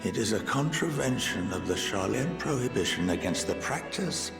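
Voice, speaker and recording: A man playing an erudite, measured scholar and statesman in a video game, speaking formally, like a lecture, with gentle wry humour. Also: fairly low pitch, sing-song, vocal fry. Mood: measured, didactic. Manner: formal, eloquent, didactic. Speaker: game character, scholar and council member. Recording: game audio with echo, loud music. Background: loud music.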